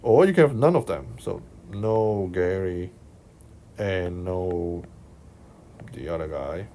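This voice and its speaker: Slow, low-voiced man with a Hong Kong accent. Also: lively intonation, warm tone, slight background noise. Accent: Hong Kong Cantonese. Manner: unhurried, thinking aloud, checks in with "right?" and "okay?". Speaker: maths tutor. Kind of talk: explaining a worked exam solution over screen recording. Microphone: voice-over on screen recording.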